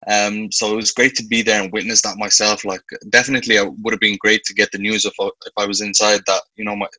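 Earnest young Dublin man, bright and dry. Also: fairly low pitch, flat delivery, quick pace, no room sound, poor audio. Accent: Dublin Irish. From Ireland. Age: 20s. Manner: earnest, with frequent restarts and "you know". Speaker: guest. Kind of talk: reflective conversational interview. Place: indoor room with light walls. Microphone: video call webcam.

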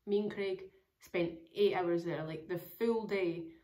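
A young woman with a hoarse, crackly voice, chatting casually to camera about her travels, rambling with lots of fillers. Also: fairly low pitch, measured pace, mellow. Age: young adult. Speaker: travel vlogger. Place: small room indoors at home.

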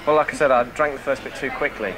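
British male, thirties, quick casual delivery, prank presenter, loud pub crowd and music behind. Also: medium pitch, fairly even intonation, low-quality recording. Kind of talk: casual hidden-camera prank narration and chat. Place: busy pub.

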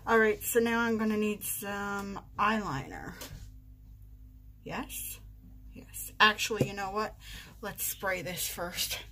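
A woman's voice: mid-range voice, animated, slow, pauses often, sharp tone, a little hiss or hum. A woman in her forties doing her makeup on camera in her bedroom, chatting casually, poking fun at herself, and talking through each step. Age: 40s. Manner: chatty, self-mocking, narrates each step. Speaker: vlogger. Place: bedroom at home.

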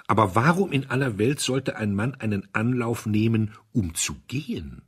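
Male narrator for literary audio drama: lowish husky voice, suspenseful and measured delivery. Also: expressive.

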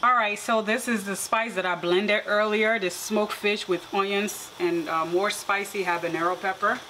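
A woman of middling pitch walks through a recipe in her home kitchen, explaining each step in an easy, relaxed way. Food sizzles and frying sounds come through clearly behind her voice. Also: moderate pace, low-quality recording. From Liberia. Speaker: cooking vlogger. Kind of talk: casual cooking demonstration. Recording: clear kitchen cooking noise. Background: frying and sizzling food, stirring.

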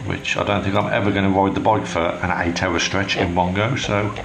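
English male biker vlogger, deep voice, relaxed explainer, helmet mic with light background hiss. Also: a little fast, vocal fry. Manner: chatty, explains as he goes. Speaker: motorcycle vlogger reviewing a camera. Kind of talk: casual product review vlog. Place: home and garden, then outdoors by his motorbike. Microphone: helmet microphone of action camera.

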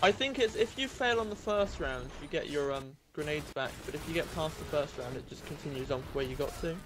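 A man's voice: fairly high pitch, background noise. A man chatting with friends over voice comms while playing, explaining how the game works. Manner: explanatory, quick callouts. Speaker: gaming group player.

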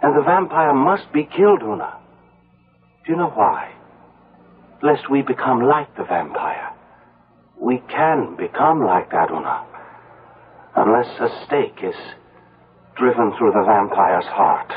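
A narrow, tinny vintage radio broadcast carries a grave village man. His mid-pitched voice is deliberate and portentous, full of superstitious dread in the manner of a gothic radio play. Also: lively intonation, slightly rough. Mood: grave, foreboding. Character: solemn, fearful village man who believes in vampires. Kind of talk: 1970s radio mystery acting. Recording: muffled, narrow-band old radio broadcast.

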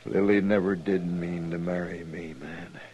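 An actor playing a weary, cornered male outlaw who talks low, slow and tired, in a 1950s radio drama. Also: steady tone, a little mellow, dull audio. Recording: hissy 1950s radio recording.

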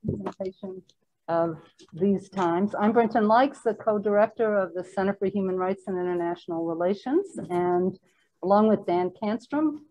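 Woman, Spanish-French accent, mellow warm tone, formal measured welcome, co-director introducing a speaker. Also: medium pitch, poor audio. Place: lecture room at a hybrid event.

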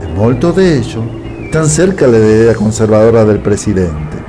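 An actor playing a hot-headed, scornful Italian immigrant husband with an Italian accent in a radio soap. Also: male voice, on the low side, very animated, moderate pace, background noise. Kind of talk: radio soap opera acting.

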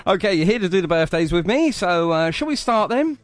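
A man's voice: high voice, very animated, brisk. A British man hosting a live radio show with casual, chatty, jokey talk. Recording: music playing behind the voice.

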